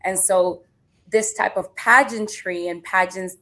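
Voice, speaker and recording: A woman's voice: medium pitch, fairly even intonation, measured pace, bright, forceful tone. A woman from Venezuela speaking as a guest on a panel show, explaining her work at length in an earnest way. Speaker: panel guest, pageant producer. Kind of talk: casual panel conversation.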